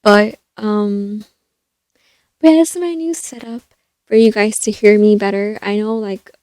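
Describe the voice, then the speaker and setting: Young woman vlogger, naturally soft voice, middle register, chatty and loose, dead-dry close mic. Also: mid-range voice, unhurried, no room sound. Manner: rambling, many fillers and restarts. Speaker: vlogger reviewing a film. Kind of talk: casual chatty review. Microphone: stand mic.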